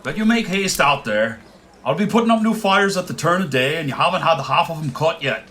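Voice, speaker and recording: An actor playing a hard, impatient village blacksmith, scolding and commanding in a theatrical radio play style. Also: male voice, a little high, animated, a little fast, sharp tone, faint music behind. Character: hard, bossy Irish village blacksmith man, impatient taskmaster.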